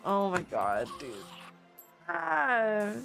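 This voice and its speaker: Young American woman, game streamer, unhurried delivery, faint music underneath, casual and laughing. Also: mid-range voice, wildly swooping pitch, vocal fry, a bit bright. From United States. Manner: chatty, swears casually, laughs often, reacts to chat. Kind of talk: casual gaming livestream chat.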